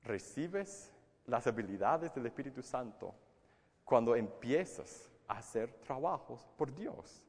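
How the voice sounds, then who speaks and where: A man's voice: mid-range voice, animated, slow pace, a little mellow, big-room sound. A man preaching a sermon in Spanish, a language he learned as an adult, earnest and emphatic, often repeating words and restarting phrases. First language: English. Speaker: pastor.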